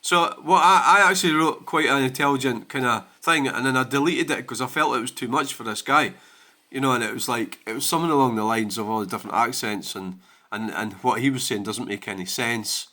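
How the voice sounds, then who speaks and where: Sweary Scottish man, bright voice. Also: medium pitch, brisk. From Scotland. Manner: blunt, sweary, rambling. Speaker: channel host. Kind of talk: casual vlog rant.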